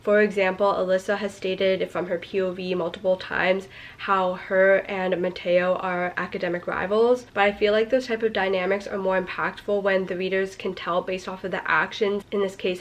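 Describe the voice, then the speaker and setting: A young woman chatting casually about a book she is reading, critical and full of "like", her voice stuffy and nasal from a cold. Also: mid-range voice. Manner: chatty, critical, heavy use of "like". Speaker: book vlogger. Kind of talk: casual book review chat.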